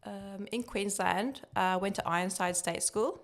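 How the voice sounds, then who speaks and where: A woman's voice: mid-range voice, measured pace. A young woman whose first language is Turkish, chatting easily as a guest on a podcast interview. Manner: relaxed, chatty answers. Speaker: guest, building cost estimator.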